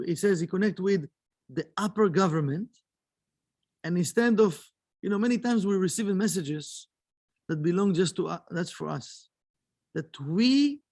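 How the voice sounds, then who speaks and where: Man, kabbalah teacher, earnest, repetitive emphasis, high-pitched voice. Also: a little mellow. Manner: earnest, repeats phrases for emphasis. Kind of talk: early morning study lesson.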